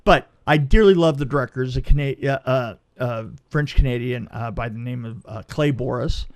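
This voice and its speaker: A man's voice: medium pitch, normal speed. A man telling a casual anecdote as a film crew guest, stammering and repeating words as he goes.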